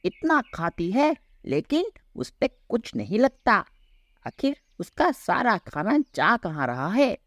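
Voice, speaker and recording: A woman's voice: medium pitch, sing-song, takes it slowly, no echo, studio quality. A woman voicing a mocking village farm animal in a children's cartoon story, taunting and jeering like a bully.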